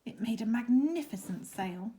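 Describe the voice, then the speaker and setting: A woman's voice: fairly high pitch, expressive, normal speed, mellow. A woman narrating a children's story expressively, like a teacher, with chatty asides to her listeners. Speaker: teacher reading a picture book.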